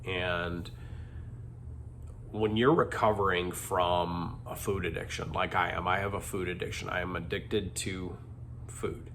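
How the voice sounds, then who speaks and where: A man's voice: low voice, fairly even intonation, measured pace, a bit bright, a little hiss or hum. A middle-aged man with a stuffy, congested nose, talking candidly in a rambling vlog confession full of ums and restarts. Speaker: vlogger.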